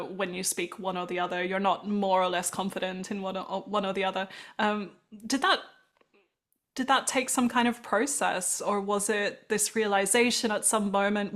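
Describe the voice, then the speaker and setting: A woman hosting a podcast interview who chats casually, laughs often and lets her sentences trail off. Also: medium pitch. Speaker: podcast host.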